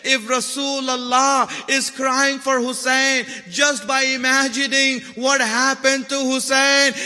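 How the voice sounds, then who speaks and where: Male preacher, piercing bright voice, very slow delivery, plaintive mourning storytelling, repeated phrases, impassioned. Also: very high voice. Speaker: religious lecturer, preacher. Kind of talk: emotional mourning sermon, dramatic storytelling. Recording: long reverberant hall echo.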